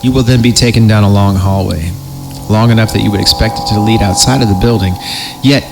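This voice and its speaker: A man's voice: fairly low pitch, brisk, vocal fry. A man hosting a podcast, reading creepypasta horror stories in a comedic dramatic style with wry joking asides, over music.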